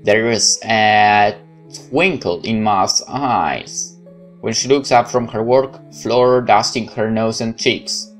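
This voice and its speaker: Low-pitched Polish-accented man reading aloud. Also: slow pace, faint music behind. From Poland. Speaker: let's play host. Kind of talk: reading visual novel text aloud, with casual asides.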